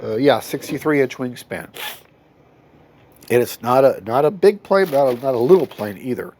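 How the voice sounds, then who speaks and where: A man's voice: mid-range voice, moderate pace, darkish tone, slight background noise. A man, nasal from a cold, giving a folksy, rambling review of a model plane at home. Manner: rambling, self-correcting, folksy. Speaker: RC hobby reviewer. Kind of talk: casual unboxing review. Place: home hobby room.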